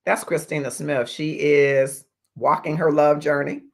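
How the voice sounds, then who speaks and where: A man in his fifties hosting a motivational livestream as a life coach, speaking in deliberate, emphatic phrases with pauses. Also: fairly high pitch, steady tone, vocal fry. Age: 50s. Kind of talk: motivational livestream talk to viewers.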